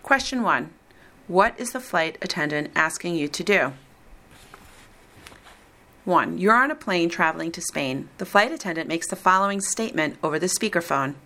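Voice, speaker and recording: Woman, exam reader, slightly low pitch, slow deliberate dictation, even pacing. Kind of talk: reading a recorded listening test script.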